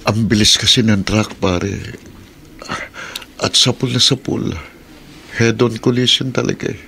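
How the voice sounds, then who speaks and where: A man's voice: on the low side, fairly even intonation, unhurried, gravelly, sharp tone, a little hiss or hum, exaggerated voice. An actor playing an injured middle-aged jeepney driver and father in a Filipino radio drama, plaintive and weary, with a Filipino accent. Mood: resigned, weary.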